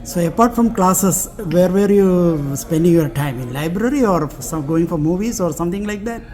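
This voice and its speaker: A man interviewing a guest, asking halting, hesitant questions. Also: high-pitched, lively intonation, dark tone.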